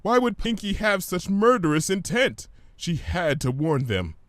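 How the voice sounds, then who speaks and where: A man narrating a scripted story in an expressive, theatrical manner. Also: high-pitched, measured pace, dry recording, clean studio sound.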